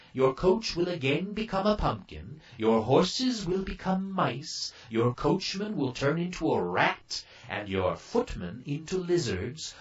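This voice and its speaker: A man voicing a kindly old fairy godmother in a storybook, with slow, drawn-out, deliberate delivery. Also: fairly high pitch, reverberant, recorded from a distance, dull audio, voice pushed up.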